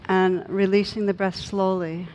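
A woman meditation teacher leading a guided meditation in a gentle, soothing, unhurried manner with long pauses. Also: level tone. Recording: noisy recording with low rumble.

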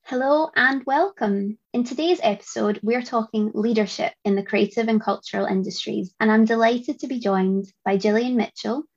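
A woman hosting a podcast, reading introductions and asking questions in a bright, upbeat presenter manner. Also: on the high side, normal speed, few pauses. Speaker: podcast host. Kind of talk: scripted podcast introduction and interviewing. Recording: dull remote home recording.

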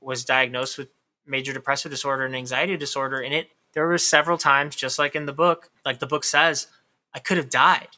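A man's voice: mid-range voice, level tone, fairly quick, bright, forceful tone. A man in his thirties, a writer being interviewed, talking candidly and at length in a casual way. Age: 30s. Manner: candid, talkative, lots of "like" fillers. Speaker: author guest.

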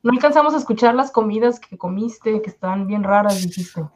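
A woman's voice: mid-range voice, fairly quick. A woman with a Mexican accent chatting casually on a live stream in an informal, colloquial way.

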